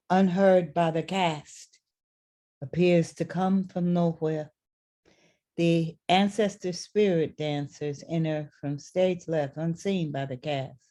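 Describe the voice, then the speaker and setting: A woman's voice: lowish voice, dark tone. A woman reading scripted stage directions as the narrator of a play.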